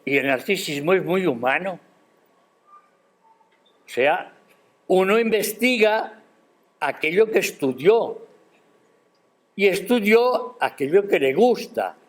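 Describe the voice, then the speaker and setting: An older man giving a formal academic speech at a university ceremony, measured and emphatic, posing rhetorical questions. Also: high voice, expressive. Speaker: guest speaker at a university ceremony. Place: university auditorium.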